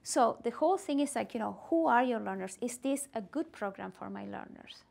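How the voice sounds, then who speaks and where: A woman's voice: fairly high pitch, lively intonation, brisk, gravelly, a little mellow. A middle-aged woman presenting at a teacher workshop, talking animatedly and with emphasis in a meeting room. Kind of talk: workshop discussion.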